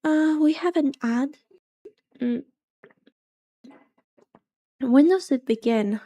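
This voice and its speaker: Soft, mellow-voiced woman streamer; relaxed, rambling gameplay chat. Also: high voice, takes it slowly. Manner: soft-spoken, rambling and chatty. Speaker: VTuber streamer. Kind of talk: casual chat while gaming.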